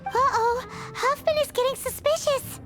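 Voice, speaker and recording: A woman voicing a tiny, excitable, childlike fairy companion in a video game, dramatic and exclamatory. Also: extremely high pitch, normal speed. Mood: alarmed. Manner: refers to self in third person, exclaims. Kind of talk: animated video game voice acting. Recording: game music behind the voice.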